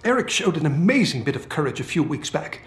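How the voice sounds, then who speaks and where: An actor playing an older British scientist in a video game, feisty and fatherly, with colourful, expressive delivery. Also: a little high, brisk. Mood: wry, weary. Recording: roomy game audio with music.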